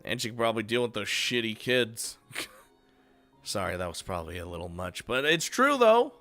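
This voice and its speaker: A man's voice: lowish voice, sing-song, normal speed, pushed and bright, dry recording, faint music behind. A man giving casual let's play commentary over a visual novel, swearing and laughing often.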